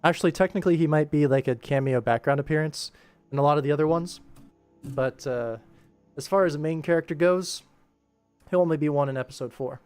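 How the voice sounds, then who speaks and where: A man's voice: fairly high pitch, brisk, a little mellow, clear, resonant tone, no room sound. A man hosting a livestream, chatting casually and explaining his work in an easygoing way. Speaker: livestream host and animator. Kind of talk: casual livestream chat, answering viewers while working. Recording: music playing behind the voice. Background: music.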